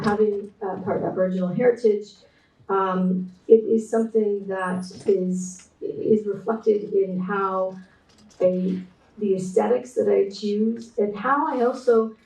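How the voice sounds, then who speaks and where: A middle-aged woman with a Canadian accent, giving a conference keynote in a reflective, measured way with frequent restarts. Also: mid-range voice, mellow. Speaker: keynote speaker, textile designer. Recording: roomy echo, voice distant from mic.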